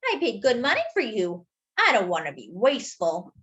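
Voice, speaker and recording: A woman's voice: on the high side, wildly swooping pitch, normal speed, a bit bright. A woman voicing a kindly, reasonable, slightly fussy man in a children's storybook, earnest and reassuring.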